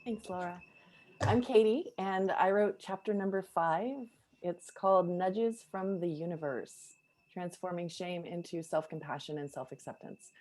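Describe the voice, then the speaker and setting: A woman's voice: lowish voice, measured pace, a little mellow, rough recording. A woman author introducing her chapter in a casual chat, reflective and hesitant with fillers. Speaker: co-author guest.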